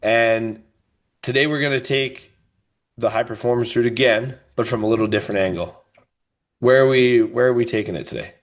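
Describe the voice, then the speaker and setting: A man's voice: medium pitch, moderate pace. A man co-hosting a podcast, talking in an emphatic, expansive way and thinking aloud as he goes. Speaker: podcast co-host. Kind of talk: casual podcast chat.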